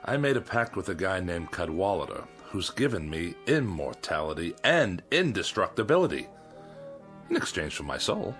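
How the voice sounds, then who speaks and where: An actor playing a bored, cruel immortal husband in a mid-century American TV drama, with a theatrical, scornful delivery and an American accent. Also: male voice, deep voice, very animated, normal speed, a bit bright. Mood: restless, boastful. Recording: vintage TV soundtrack with music.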